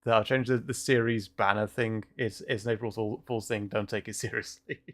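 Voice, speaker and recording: A man hosting a casual livestream chat, speaking haltingly with repeated words and frequent chuckles. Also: fairly low pitch, little pitch movement. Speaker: livestream host.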